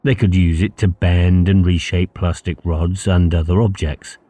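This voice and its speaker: Deep, dark-voiced male audiobook narrator. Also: steady tone, a little slow, dry recording. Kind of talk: scripted literary narration.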